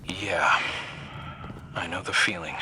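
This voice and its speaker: An actor playing a brooding, sardonic fighter in a video game, speaking in a wry, understated way. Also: male voice, low voice, slow pace, a little hiss or hum, exaggerated voice. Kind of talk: scripted video game dialogue. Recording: loud music under the voice. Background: loud game music.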